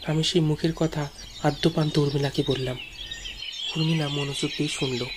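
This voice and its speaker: Higher-pitched male ghost story narrator. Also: fairly even intonation, fairly quick, noisy background. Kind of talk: dramatic first-person ghost story narration. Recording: music behind the voice.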